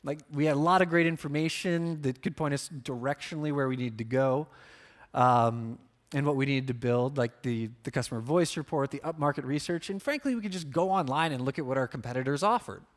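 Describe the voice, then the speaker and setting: A man's voice: medium pitch, fairly quick. A man giving a business conference talk as a product marketer, speaking informally with emphasis on key words and casual asides.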